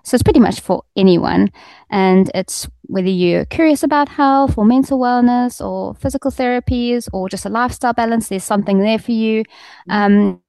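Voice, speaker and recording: A woman's voice: on the high side, moderate pace, darkish tone, no room sound. A woman magazine editor describing her publication in a casual interview, talking easily with frequent ums and restarts. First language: Afrikaans second language; first language English. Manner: friendly, fluent with frequent ums.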